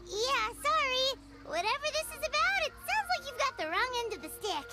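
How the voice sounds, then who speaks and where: Video game role: tiny childlike fairy sidekick, squeaky, extremely high, bouncing all over, music underneath. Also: female voice, sing-song, normal speed. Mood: chirpy. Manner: squeaky, chirpy, exclamatory. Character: tiny cheerful fairy companion, childlike, outspoken and helpful.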